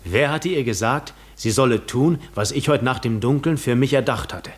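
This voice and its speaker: A man's voice: mid-range voice, normal speed, breathy edge, lightly acted. An actor playing a pensive seafaring man who tells his own story in a 1950s radio drama. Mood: reflective.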